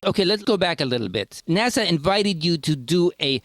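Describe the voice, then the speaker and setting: A man's voice: high voice, lively intonation, fairly quick, a bit bright, no echo. A man hosting a comic podcast interview in a casual, wry way, playing along with the bit. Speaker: podcast host.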